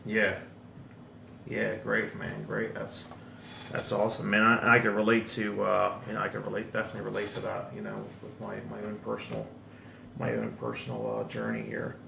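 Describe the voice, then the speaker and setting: Male talk-show host, casual and halting, gravelly and bright, roomy echo. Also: fairly low pitch, monotone, background noise. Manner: repeats words often, lots of fillers. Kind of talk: casual interview.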